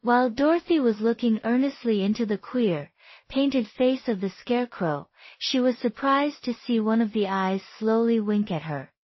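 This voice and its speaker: A woman's voice: fairly high pitch, lively intonation, moderate pace, no room sound, muffled recording. A woman narrating a scripted children's bedtime story as a read-aloud.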